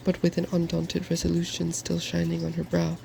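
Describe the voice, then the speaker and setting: A soft-spoken narrator gently reading a fairy tale in an ASMR style. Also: medium pitch, completely flat delivery, fairly quick, creaky, a little hiss or hum.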